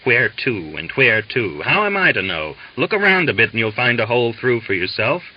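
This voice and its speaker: A man's voice: mid-range voice, big pitch swings, moderate pace. A dull, lo-fi audiobook recording carries a hot-headed young revolutionary, acted out by a male narrator. He is impatient and snappish, goading and urging his listeners on. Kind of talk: dramatised audiobook dialogue.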